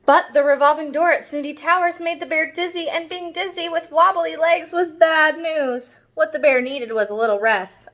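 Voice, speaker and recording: A woman's voice: high-pitched, fairly bright tone. A woman reading a picture book aloud to children expressively, with explanatory asides to her listeners. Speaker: storybook reader.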